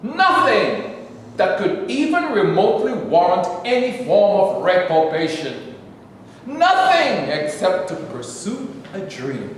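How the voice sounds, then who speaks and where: An actor playing a grandiose minister, giving a sermon in a drawn-out, swelling oratorical style in an echoey hall. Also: male voice, high voice, huge pitch swings, slight background noise, full character voice. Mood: solemn. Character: male minister, grandiose and preachy. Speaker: actor in a staged reading. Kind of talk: stage reading of a sermon. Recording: echoey hall with long reverb.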